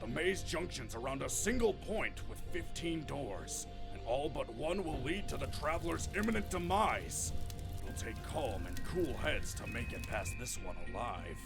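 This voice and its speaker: A man's voice: medium pitch, expressive, moderate pace, creaky, over music. A man voicing an earnest, exasperated ogre assistant who explains deferentially in an anime parody dub. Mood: earnest, insistent.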